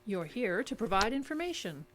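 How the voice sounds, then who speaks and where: An actress playing a composed, controlling interrogator in an audio drama, with a measured, formal, insistent way of speaking. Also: female voice, mid-range voice, big pitch swings, noisy background, natural voice. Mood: probing. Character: composed, controlling female interrogator probing a detainee.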